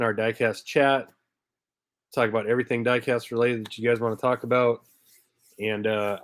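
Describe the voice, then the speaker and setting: A man's voice: mid-range voice, dry recording. A man in his thirties hosting a live diecast car stream, stuffy from a head cold and chatting casually as he greets viewers by name. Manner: laid-back, chatty, greets viewers by name with little laughs.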